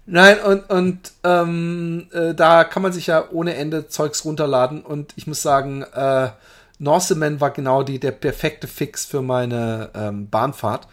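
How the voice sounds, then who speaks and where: A man chats casually about series as a podcast host, his voice sitting a little high for a man. He rambles, keeps cutting himself off, and slips into jokes. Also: fairly even intonation, measured pace. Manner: rambling, self-interrupting, playful.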